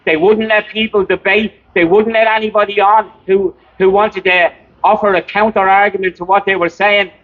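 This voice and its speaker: A high-voiced man with an Irish accent addresses the public as a campaigner. He is earnest and emphatic, driving points home through repetition. The sound is thin and narrow, like a phone recording. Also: level tone, normal speed. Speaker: campaign volunteer giving an address. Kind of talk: public protest speech. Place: outdoors outside a hospital.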